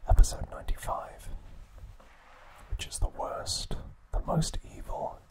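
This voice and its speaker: Male, ASMR host, breathy whisper, slow unhurried pace, soft deliberate trivia narration. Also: poor audio.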